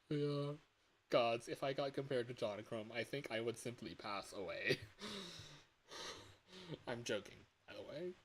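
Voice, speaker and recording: There is a light hiss over this livestream audio of a man in his early twenties. His voice sits at an average pitch for a man. He talks casually and ramblingly, with an excitable, self-deprecating, giggly manner. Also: slow, pauses often. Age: early 20s. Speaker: livestreamer and indie game developer. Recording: hissy home livestream audio.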